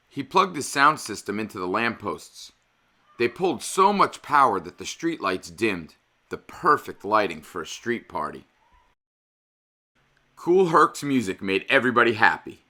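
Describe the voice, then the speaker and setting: A man in his fifties hosting a children's read-aloud, speaking expressively with emphatic, deliberate phrasing. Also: fairly low pitch, very animated, sharp tone. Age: 50s.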